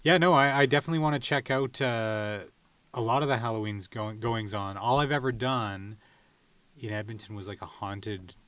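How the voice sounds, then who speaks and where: A man's voice: lowish voice, normal speed, fairly bright tone, no echo. A man hosting a podcast in a casual, conversational way. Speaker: podcast host. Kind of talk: casual interview and opinion rant.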